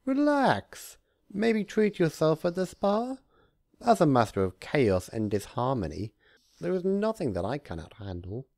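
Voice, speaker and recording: A man's voice: on the high side, sing-song, measured pace, creaky, a little mellow, no room sound, clean studio sound, voice pushed up, heavily acted. A man voicing a gleeful, scheming male chaos spirit in a storybook reading, grandiose, theatrical and mock-sweet. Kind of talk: storybook character voice.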